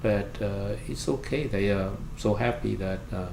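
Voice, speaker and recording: A man with a Cambodian accent, a native Khmer speaker, recounts his experiences in halting, simply phrased English in an interview. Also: on the low side. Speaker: interviewee, refugee services worker and pastor. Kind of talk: oral history interview, recounting personal experience. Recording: roomy echo, clear background noise.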